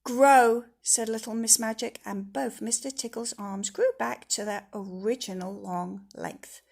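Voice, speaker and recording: Woman, children's storybook reader, slow, emphatic, expressive, some vocal fry, engaging asides. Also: medium pitch. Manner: emphatic, engaging listeners with questions. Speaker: teacher reading a storybook aloud.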